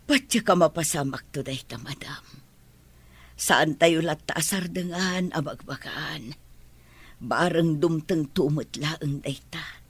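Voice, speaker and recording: A meek household servant in a radio drama. Her Filipino-accented voice is lowish and very breathy, soft and pleading. She sounds patient, kindly and humble before her employers. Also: slow pace, no room sound, exaggerated voice. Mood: earnest, deferential.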